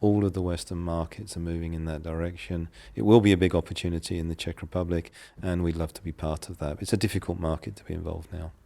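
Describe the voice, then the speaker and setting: A middle-aged English man with a very low, gravelly voice, answering interview questions in a measured, businesslike way. His tone is dark and mellow, and he pauses with the odd filler as he thinks. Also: level tone. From England. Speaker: guest, property developer.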